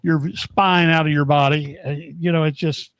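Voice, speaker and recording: Male co-host, a bit high-pitched, teasing and quick with asides, dry close sound. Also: flat delivery, fairly bright tone, dry recording. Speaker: co-host and wrestling commentator. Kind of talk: casual chat.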